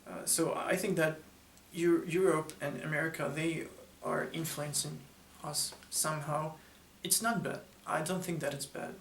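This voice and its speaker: A man in his twenties, born in Russia and raised in Ukraine, speaking English as a second language, answering interview questions haltingly with many filler sounds in a hotel room. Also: a little high, fairly even intonation, fairly bright tone. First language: not English. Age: 20s. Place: hotel room, seated, indoors. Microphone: camera mic from across the room. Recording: roomy, distant mic, faint room hum.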